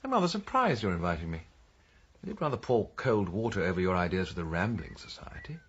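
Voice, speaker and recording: An actor playing a genteel, bemused neighbour in a radio drama, urbane and a little fussy, with an upper-class English accent. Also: male voice, low-pitched, big pitch swings, quick pace, muffled recording, slight background noise. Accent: English Received Pronunciation.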